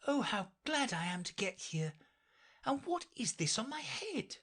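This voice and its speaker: A woman's voice: fairly high pitch, very animated, unhurried, a bit bright, slightly rough, pitched above the performer's own voice, heavily acted. A woman voicing a polite, earnest young girl with a slightly timid manner. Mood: earnest girlish.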